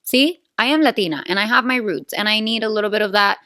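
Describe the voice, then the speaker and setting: A playful, chatty woman in her thirties with a Venezuelan accent hosts her own podcast, talking loosely and often checking in with the listener. Spanish is her first language, and she speaks at an average pitch for a woman. The sound is dry and close, with no room echo. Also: quick pace, pushed and bright. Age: 30s. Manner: chatty, playful, self-aware, often asks "right?". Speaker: podcast host. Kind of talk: casual solo podcast chat.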